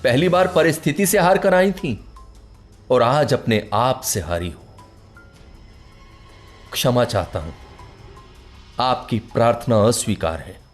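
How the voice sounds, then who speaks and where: A man's voice: medium pitch, lively intonation, slow pace, pauses often, soft background music. An actor playing a proud, stubborn, reclusive male writer in a radio play, speaking in formal, literary, declamatory lines. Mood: lofty dismissal. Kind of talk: Hindi radio play acting.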